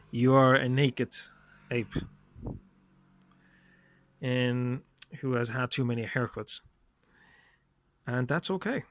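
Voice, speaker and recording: Guy doing a reflective solo podcast, talks slow and deliberate, really dry close sound. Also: mid-range voice, fairly bright tone, no room sound. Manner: deliberate, short phrases with repetition. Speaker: podcast host.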